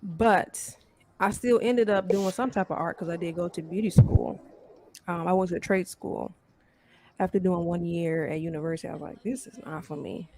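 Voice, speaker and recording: A woman's voice: on the low side, moderate pace, gravelly, mellow, slight background noise, poor audio. A woman guest chatting casually, speaking in a candid, informal way. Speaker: show guest. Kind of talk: casual chat.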